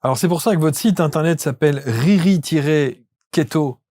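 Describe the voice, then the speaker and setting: A man's voice: fairly high pitch, normal speed, a little mellow, dry recording, clean studio sound. A man hosting a conversational interview, putting questions to his guest. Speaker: talk show interviewer.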